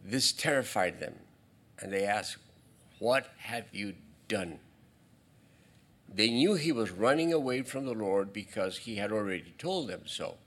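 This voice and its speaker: Halting pastor preaching a sermon. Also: male voice, on the low side, lively intonation, fairly bright tone. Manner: halting, with frequent restarts and asides to the congregation. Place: church sanctuary.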